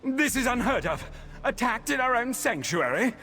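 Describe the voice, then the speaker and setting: An actor playing a distraught, courtly scholar of magic in a video game, with a formal, flustered, theatrical delivery. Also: male voice, very high voice, sing-song, moderate pace, sharp tone, a little hiss or hum, full character voice. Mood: shocked, distraught. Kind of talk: video game character dialogue. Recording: game music behind.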